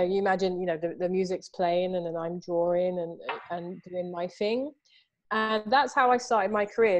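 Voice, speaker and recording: A woman in her thirties, chatting as a guest on a video call from a room at home. Her voice sits a little low for a woman, and she talks in a loose, animated way, leaning on "you know" and "like" as she goes. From Southeast London, England. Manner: animated, gestures a lot, many fillers like "you know". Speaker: artist guest. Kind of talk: casual interview over video call. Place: home room with books and artwork behind. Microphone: video call, earphones.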